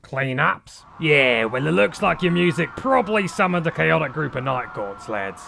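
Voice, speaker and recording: A man's voice: on the high side, lively intonation, moderate pace, vocal fry, bright, forceful tone, a little hiss or hum, heavily acted. A British man playing a middle-aged, veteran cosmic monster hunter in a comedy cartoon, blunt, bossy and sweary, bragging casually as if to a documentary camera. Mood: matter-of-fact. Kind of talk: mockumentary comedy voice acting, talking to camera.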